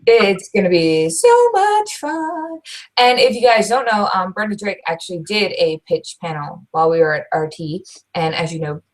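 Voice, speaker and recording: A young woman co-hosting a podcast, talking loud and fast in casual chat, full of restarts. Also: medium pitch, animated. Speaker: podcast co-host.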